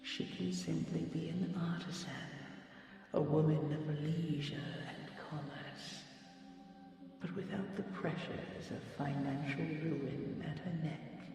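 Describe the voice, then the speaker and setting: Woman playing a slow, hushed, regal dragon queen. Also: deep voice, creaky, heavy reverb, in a large hall, far from the mic, over music, full character voice. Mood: soothing, indulgent. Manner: formal, measured, coaxing, slightly archaic phrasing. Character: possessive, magical female dragon queen, regal and tender. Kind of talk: scripted audio roleplay, hypnotic fantasy.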